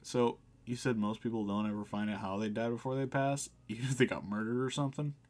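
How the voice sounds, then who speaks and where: A man's voice: medium pitch, fairly even intonation, quick pace, darkish tone, lightly acted. A man voicing an anxious, apologetic, newly dead cafe customer with a hesitant manner in a visual novel. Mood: hesitant, curious.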